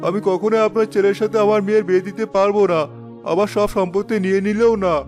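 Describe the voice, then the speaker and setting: High, quick humble village father, acted by a man. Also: steady tone, close to an everyday voice. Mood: desperate. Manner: earnest, pleading. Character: poor, humble, protective village father in debt. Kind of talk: cartoon voice acting. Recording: loud background music.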